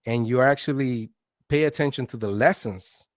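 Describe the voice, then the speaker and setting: A man's voice: mid-range voice, moderate pace, no echo. Man telling his story, dry close sound. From Venezuela. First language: Spanish. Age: late 40s. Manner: animated self-quoting, often checks in with "right?". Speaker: interview guest, consultant in instructional design. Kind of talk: conversational personal storytelling.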